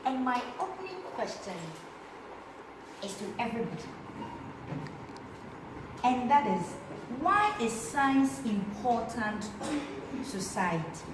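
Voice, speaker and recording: A woman hosting a casual science cafe, friendly and quick to laugh. She sounds distant from the microphone in an echoey room. There is clear background noise behind her. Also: on the high side, lively intonation. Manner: friendly, laughs between remarks. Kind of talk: casual event moderation. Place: room with a seated group.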